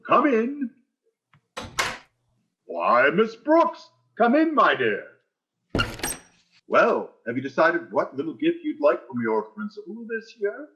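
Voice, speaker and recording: A man's voice: high voice, huge pitch swings, slow, pauses often, muffled recording, slight background noise, heavily acted. A man playing a pompous, blustering, tight-fisted principal in a theatrical radio sitcom style. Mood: pompous, condescending. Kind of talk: 1940s radio sitcom acting on stage.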